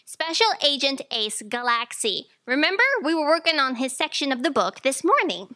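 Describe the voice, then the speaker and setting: An actress plays an outspoken young woman assistant in a staged audio drama. Her voice is high and sharp, with very animated pitch and an earnest, insistent push. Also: normal speed. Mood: eager. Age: young adult. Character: eager, outspoken young female fact checker assistant. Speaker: stage actor. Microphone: stand microphone on stage.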